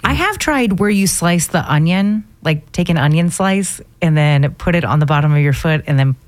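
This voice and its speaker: A woman's voice: on the low side, expressive, moderate pace, no echo. A woman co-hosting a morning radio show, chatting casually and offering tentative suggestions. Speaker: radio co-host. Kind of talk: casual on-air banter.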